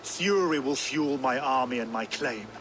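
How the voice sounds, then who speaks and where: An actor playing a fierce, middle-aged Viking warlord, theatrical and vengeful, in a dramatic video game style. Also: male voice, mid-range voice, measured pace, slight background noise, lightly acted. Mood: scheming, scornful. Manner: grandiose, theatrical, forceful turns of phrase.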